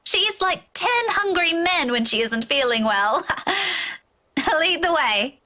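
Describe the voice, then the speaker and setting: Bright, unhurried, friendly young woman. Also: very high-pitched, animated, full character voice. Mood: amused. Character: young woman, feverish, stubborn, playing it down. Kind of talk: visual novel voice acting.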